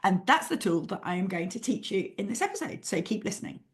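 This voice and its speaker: A woman hosting a podcast as a PhD life coach, her voice a little croaky after flu, talking in an upbeat, chatty way with frequent laughs. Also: mid-range voice, sing-song, fast talker. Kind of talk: casual solo podcast advice.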